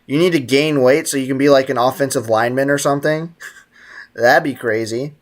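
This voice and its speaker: A man's voice: medium pitch, fairly even intonation, a little fast. A man live streaming a game with casual, slangy commentary, joking and teasing as he chats with viewers. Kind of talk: casual gaming stream commentary, reading and answering chat.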